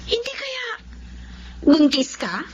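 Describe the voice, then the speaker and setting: A woman's voice: very high-pitched, slow, full character voice. An actress playing a blunt, nosy young female friend with a Filipino accent, probing and scolding in a radio drama. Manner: probing, animated. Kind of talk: Tagalog radio drama acting. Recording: dull lo-fi track with background noise.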